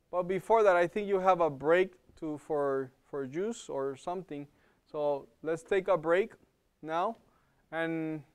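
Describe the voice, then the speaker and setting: Male lecturer with an accent, slightly high and smooth, teaching a live class in a casual, searching way. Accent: non-native English. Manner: conversational, checks in with "okay?" and repeats words while thinking. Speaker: health program lecturer.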